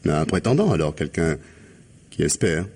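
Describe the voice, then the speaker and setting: Low husky sardonic police inspector. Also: male voice, sing-song, normal speed, darkish tone, heavily acted. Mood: probing. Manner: sardonic, insinuating questioning with polite irony. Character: probing, ironic male police inspector, needling and gallant. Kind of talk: French radio mystery drama acting.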